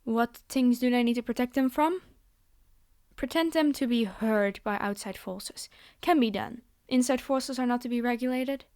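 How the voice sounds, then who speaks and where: A woman's voice: a little high, moderate pace, darkish tone, clear, resonant tone, close to an everyday voice. A woman playing a commanding vampire girl in an ASMR roleplay, speaking directly to her human captive with condescending, teasing reassurance. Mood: formal, businesslike. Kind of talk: scripted ASMR roleplay monologue.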